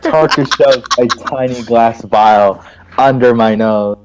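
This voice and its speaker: Guy reading out a visual novel with friends, jokey, medium pitched voice. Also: slow, background noise.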